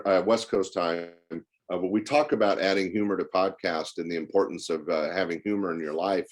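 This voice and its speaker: An older man with a low-pitched voice talks as a guest in a conversational interview. He is talkative and anecdotal, explaining things like someone recalling a long career in the club business. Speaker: podcast guest, former comedy club owner.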